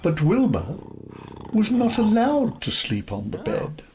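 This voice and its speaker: A woman reading a children's story in a deliberate, expressive way, with music behind her. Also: mid-range voice. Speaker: storybook reader. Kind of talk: scripted children's story narration.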